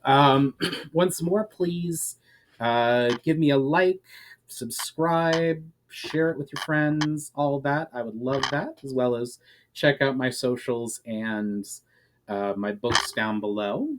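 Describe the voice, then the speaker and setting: Guy narrating a fantasy chapter like a dramatic audiobook, mid-pitched voice. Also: slow pace, background noise. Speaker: author reading his own fantasy chapter.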